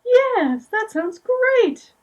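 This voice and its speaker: A woman voicing a gentle little bird puppet who talks slowly and softly. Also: extremely high pitch, sing-song, recorded from a distance, lo-fi sound, pitched above the performer's own voice, exaggerated voice. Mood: sweet.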